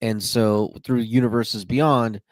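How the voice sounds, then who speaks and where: A man's voice: mid-range voice, steady tone, slow. A man with a mid-range voice chats as a podcast co-host, explaining card game details with keen enthusiasm. The sound is close and dry, with no room echo. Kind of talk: casual chat.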